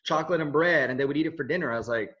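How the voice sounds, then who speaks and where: A man's voice: on the high side, very fast, sharp tone. A man in his thirties, raised in Switzerland, with no accent, speaking as an interviewee in an earnest, rambling way full of restarts and fillers. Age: 30s. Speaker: interviewee, video journalist. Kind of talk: casual conversational interview. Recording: dull lo-fi audio.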